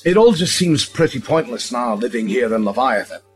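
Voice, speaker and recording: An actor playing a friendly, musing immortal pub regular in a folksy audio drama manner. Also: male voice, mid-range voice, expressive, a little fast, soft background music, close to an everyday voice. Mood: musing, wistful.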